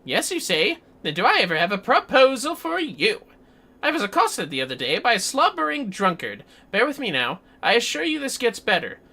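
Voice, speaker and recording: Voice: mid-range voice, very animated, normal speed, bright, forceful tone, pitched above the performer's own voice, full character voice. A streamer with an androgynous voice voicing a boastful, ale-addled fantasy adventurer, reading game dialogue in theatrical, wordy, old-fashioned language. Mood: grandiose, enticing. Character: boastful, florid ale-addled adventurer pitching treasure hunting.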